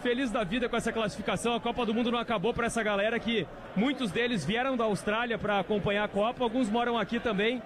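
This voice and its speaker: Field reporter, male, high voice, excited, live among a loud crowd outdoors. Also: fast, noisy background. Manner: excited, raises voice over the crowd. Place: stadium stands, outdoors, among fans. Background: cheering crowd.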